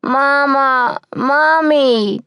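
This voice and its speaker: A small, frightened orphan girl of six in a storybook reading. Her voice is high and childlike, plaintive and pleading, recorded close and dry. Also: very slow, sharp tone, no room sound, heavily acted. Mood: crying out, distraught.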